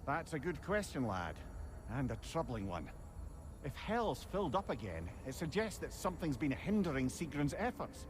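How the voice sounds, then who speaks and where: An actor playing a wise, wry old storyteller with a Scottish accent in a video game. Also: male voice, fairly high pitch, big pitch swings, background noise. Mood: thoughtful, wry. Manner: erudite, wry, measured explaining. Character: wise, chatty old male sage and storyteller.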